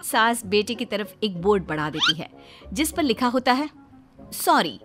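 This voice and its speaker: A woman's voice: a little high, big pitch swings, normal speed. A woman narrating a scripted comic story, chatting directly to her listeners. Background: music.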